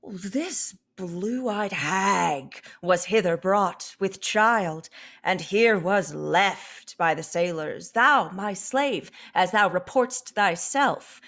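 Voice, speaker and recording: A stern exiled sorcerer, acted by a woman declaims Shakespearean verse in a radio play. The delivery is imperious and measured, with long slow phrases and dramatic sweeps of pitch. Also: lively intonation, fairly bright tone, full character voice. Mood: stern reproach. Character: commanding, stern sorcerer, exiled noble parent and master.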